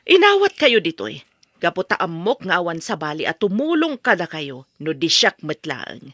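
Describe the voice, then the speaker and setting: Sharp, stern middle-aged mother. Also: lowish voice, huge pitch swings, normal speed, dry recording, studio quality, faint music behind, heavily acted. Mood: reproachful. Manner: emphatic, reproachful. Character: stern, hurt middle-aged mother scolding her young son. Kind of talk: radio drama acting.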